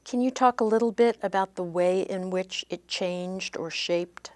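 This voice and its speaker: A woman interviewer who asks polite, measured questions in an oral history interview. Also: medium pitch, darkish tone.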